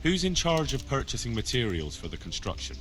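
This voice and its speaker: A man's voice: medium pitch, very animated, a little fast, over loud music, close to an everyday voice. A young man playing a loyal, earnest servant of a medieval lord in a video game, polite and inquisitive. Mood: polite, businesslike. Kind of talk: cinematic game dialogue.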